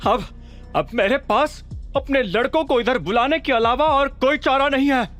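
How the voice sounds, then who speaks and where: Man acting a high-pitched, flustered gangster henchman. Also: normal speed, fairly bright tone. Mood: shaken, threatening. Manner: stammering, flustered, repeats words. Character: nervous, indignant loyal male gangster henchman. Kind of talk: audio drama character acting. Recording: music behind.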